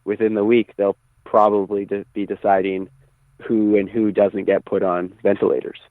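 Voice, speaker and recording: Low-voiced man on tinny phone line. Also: little pitch movement, normal speed, dry recording. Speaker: musician guest. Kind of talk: phone interview chat.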